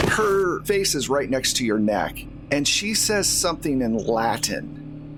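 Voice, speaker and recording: A man acting as game master, narrating scenes and explaining rules to the players as he checks in with them. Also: a little high. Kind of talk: narrating and refereeing a tabletop game. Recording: clearly noisy background.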